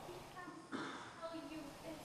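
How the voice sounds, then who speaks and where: Girl doing a fussy old grandma, really high voice, stage play, loud noise all over it. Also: level tone, normal speed. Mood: hesitant, coaxing. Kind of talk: amateur stage play acting. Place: theater stage, far camera. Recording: distant, echoey, very noisy stage recording.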